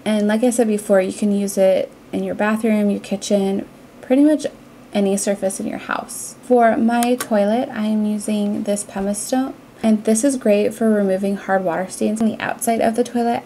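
A woman's voice: medium pitch, fairly even intonation, normal speed, gravelly, dark tone, a little hiss or hum. A young adult woman with an American accent, narrating a cleaning vlog in a casual, conversational, explanatory way. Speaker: cleaning vlogger.

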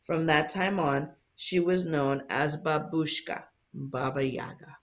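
A woman teacher reading a picture book aloud to young students in an expressive storytelling style. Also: deep voice, slow pace, dull audio.

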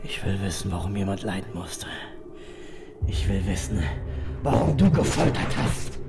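A man's voice: sits low, sing-song, moderate pace, creaky, loud music behind the voice, heavily acted. A man playing a grim, wry reaper ferryman who narrates a fantasy horror audio drama. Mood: demanding.

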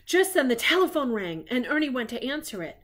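Middle-aged woman, lively storybook reader, grandmotherly, swooping intonation, casual asides to children. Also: high-pitched, fairly quick. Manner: animated, questioning, child-directed. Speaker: grandmother reading picture books to children. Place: living room at home.

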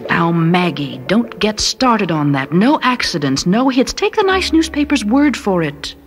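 Woman as nervous young wife, animated pitch. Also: a little slow, faint music behind. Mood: anxious, self-chiding. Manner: self-chiding, urgent. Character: nervous expectant young wife scolding herself.

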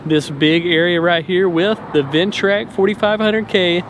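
A man's voice: sits high, fairly even intonation, unhurried, slight background noise. A middle-aged American man chatting casually and informally to camera as a lawn care vlogger, outdoors on a handheld camera. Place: outdoors in an open paved lot. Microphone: handheld camera at arm's length.

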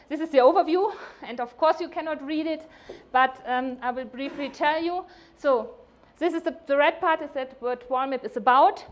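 A middle-aged woman giving a scientific conference presentation, with a hesitant, halting delivery and frequent self-corrections. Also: sits high. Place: indoor workshop meeting room.

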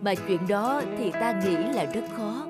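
A woman's voice: mid-range voice, expressive, measured pace, voice pushed down, close to an everyday voice. A woman putting on a solemn snow god. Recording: loud music behind.

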